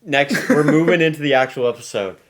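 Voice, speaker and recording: A man's voice: on the high side, a little fast. A man co-hosting a podcast, chatting casually and comedically in a playful, rambling way. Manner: playful, rambling, animated banter. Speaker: podcast co-host.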